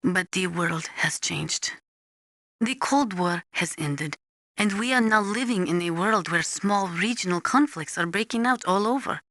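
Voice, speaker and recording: A woman playing an earnest nuclear weapons analyst in a video game, explaining things in a measured, precise, didactic way. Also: on the low side, expressive, a bit bright, no echo. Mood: grave, regretful. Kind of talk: scripted expository video game voice acting.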